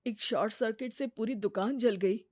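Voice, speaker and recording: A woman voicing a mild, supportive young husband in a measured, serious manner in a story. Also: a little high, a little mellow, no room sound, studio quality, lightly acted. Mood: worried.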